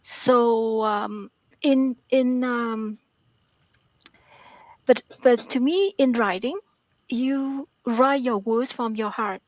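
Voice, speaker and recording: Soft-spoken hesitant woman, dry sound. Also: a little high, steady tone, drawn-out words, long pauses, no room sound, lo-fi sound. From Vietnam. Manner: hesitant, many fillers and repeated words. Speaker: author and math professor, guest. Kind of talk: interview answers.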